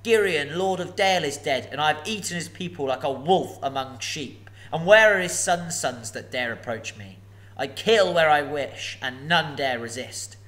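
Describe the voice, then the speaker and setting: A man voicing a huge, boastful old male dragon, slow and emphatic as he gloats and threatens. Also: fairly high pitch, expressive, a bit bright, rough recording. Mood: gloating.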